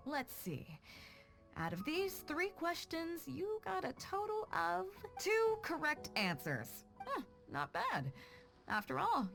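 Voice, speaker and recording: A woman's voice: high voice, vocal fry, exaggerated voice. An actress playing a confident, playful woman ship captain in a video game, with a teasing, assured and instructive manner. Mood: encouraging, playful.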